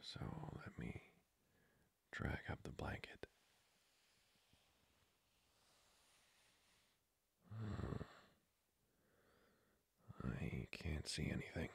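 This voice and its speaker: Casting: male actor as seductive aristocratic vampire for ASMR roleplay, extremely low gravelly voice, courtly and intimate. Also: sing-song, dry recording, heavily acted. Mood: hesitant, tempted. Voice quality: breathy, whispery, gravelly. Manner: formal, slow, seductively polite. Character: flirty, courtly male vampire lord, hungering for blood.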